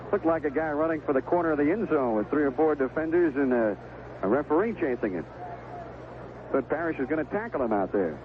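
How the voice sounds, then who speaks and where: An American man calling baseball play-by-play on the radio, in clipped phrases with conversational asides between pitches. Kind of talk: live sports commentary. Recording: vintage 1970s AM radio broadcast, tinny, noisy.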